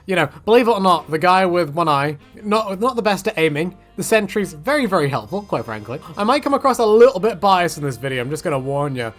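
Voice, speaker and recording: A man's voice: high-pitched, very animated, quick pace, hardly any pauses, fairly bright tone, faint music behind. A man giving a casual, rambling video commentary in a chatty way, often correcting himself and wandering off on tangents. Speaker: gaming video creator.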